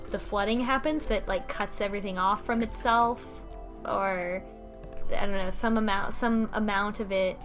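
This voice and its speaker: A woman chatting casually about a game in a halting way, as if working out her ideas while she talks. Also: medium pitch, fairly bright tone. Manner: halting, thinking aloud. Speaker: podcast panelist. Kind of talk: casual chat about a visual novel. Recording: music behind the voice. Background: music.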